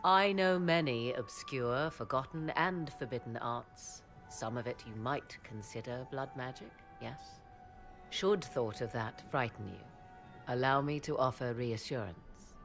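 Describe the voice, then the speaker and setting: Aloof sardonic sorceress, fantasy game, gravelly creak, theatrical archaic delivery, faint music behind. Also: female voice, extremely low pitch, unhurried. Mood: persuasive, mysterious. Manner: arch, archaic, theatrical phrasing. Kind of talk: fantasy video game dialogue.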